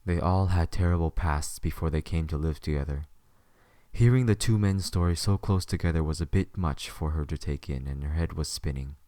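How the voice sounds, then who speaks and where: A man's voice: rumbling low, moderate pace, warm tone, dry recording, clean studio sound. A man reading scripted third-person story narration as the narrator of an audio drama.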